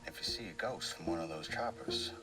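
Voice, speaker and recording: An actor playing a ruthless, folksy military commander in a video game, with a menacing Southern US drawl. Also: male voice, deep voice, brisk, lightly acted. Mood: leisurely menace. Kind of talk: video game cutscene acting. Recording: music behind the voice.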